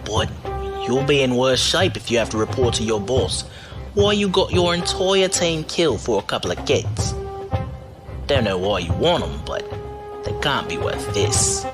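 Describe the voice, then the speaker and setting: A roguish driver-for-hire, acted by a man, breezy and unflappable. His pitch swings freely as he teases in folksy slang. Music plays behind him in this audio drama. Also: sing-song, moderate pace, lightly acted. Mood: smug, amused. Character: cocky roguish male driver-mercenary, wily and unflappable.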